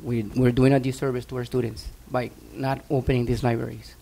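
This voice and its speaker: A man's voice: medium pitch, flat delivery, brisk, creaky, warm tone. A man who is a school board member, giving earnest remarks at a meeting with frequent fillers.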